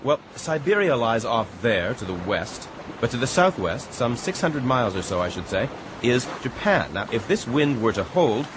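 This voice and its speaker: An actor playing an earnest, considerate gentleman castaway in a radio drama, with a mild, hesitant, courteous manner. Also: mid-range voice, very animated, moderate pace, lightly acted. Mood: reassuring. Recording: noisy boat and water ambience behind.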